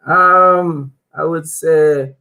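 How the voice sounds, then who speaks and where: A young man from Saint Kitts answering interview questions calmly, with many pauses and fillers. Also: on the high side. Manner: relaxed, many um fillers, soft-spoken. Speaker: footballer, interview guest.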